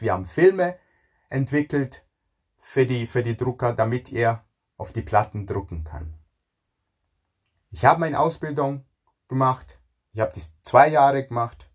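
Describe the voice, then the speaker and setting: Guy in his 40s, lively swoopy pitch, bit husky, telling his own story. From Ghana, moved to Germany at thirteen. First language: not German. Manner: animated, emphatic, repeats words for emphasis. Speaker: speaker telling own career story, cook. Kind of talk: informal personal storytelling to an audience.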